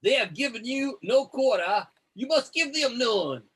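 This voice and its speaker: A man voicing a stern martial arts master in a theatrical comic reading, solemn and commanding, with deliberate phrasing. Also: extremely high pitch, animated, husky, heavily acted.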